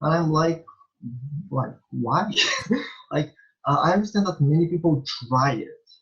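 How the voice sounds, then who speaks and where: A young man from Bulgaria, a native Bulgarian speaker with a Bulgarian accent, answering interview questions in a halting way, full of fillers and restarts. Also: a little high, lively intonation. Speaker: interviewee, small vlogger. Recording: dull lo-fi recording.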